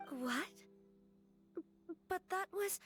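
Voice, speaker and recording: Gracious young lady, high voice, hesitant, polite, worried, video game drama, faint music behind. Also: animated, very slow, a bit bright, exaggerated voice. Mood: startled. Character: anxious, gracious young noblewoman bride-to-be. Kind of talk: scripted video game voice acting.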